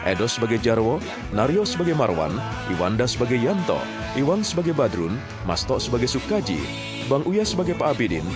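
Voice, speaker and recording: A man's voice: medium pitch, sing-song, brisk. A man announcing radio drama credits in a scripted, declamatory, dramatic style over guitar music.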